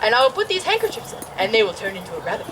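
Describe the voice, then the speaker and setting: A woman's voice: mid-range voice, big pitch swings, rapid-fire, noisy background, voice pushed down, lightly acted. Woman doing a showy puppet magician man. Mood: showy, defensive. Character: pompous male magician puppet, insists on his title. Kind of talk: backyard puppet show.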